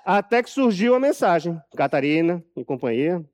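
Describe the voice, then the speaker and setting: A man with a Brazilian accent giving a live talk from a prepared text, telling his story deliberately and emphatically, repeating phrases for effect. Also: on the high side, big pitch swings, darkish tone. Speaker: journalist and author speaking at an event.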